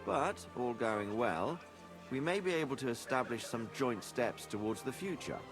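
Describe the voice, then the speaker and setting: Man acting as middle-aged medieval lord, fatherly, formal, measured, expressive pitch, game dialogue with music behind. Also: lightly acted. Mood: measured, diplomatic. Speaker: video game character. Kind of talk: cinematic video game dialogue.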